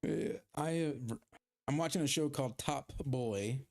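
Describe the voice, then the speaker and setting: A man with a gravelly, creaky edge to his mid-pitched voice chats through a gaming livestream. He rambles loosely, trails off, and pokes fun at himself while he plays. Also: measured pace, darkish tone. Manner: rambling, self-deprecating, chatting with viewers while playing. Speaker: streamer. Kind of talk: casual gaming livestream chat.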